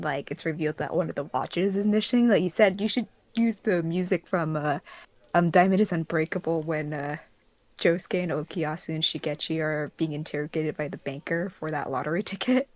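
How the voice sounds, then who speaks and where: A woman's voice: low-pitched, steady tone, moderate pace, no room sound, highs cut off. A giggly, rambling woman hosting a casual group podcast. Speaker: podcast host, fandub production crew member.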